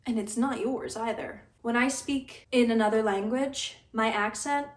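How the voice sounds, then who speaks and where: A young woman in her twenties talking in a casual vlog, dry and deadpan, griping with stammered restarts. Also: a little high, a little slow. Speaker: vlogger. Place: apartment room. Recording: echoey room, mic at a distance.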